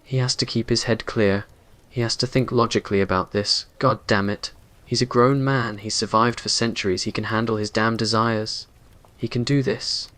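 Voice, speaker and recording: A man's voice: on the low side, steady tone, normal speed, fairly bright tone. A man reading scripted romantic roleplay narration in an ASMR story style.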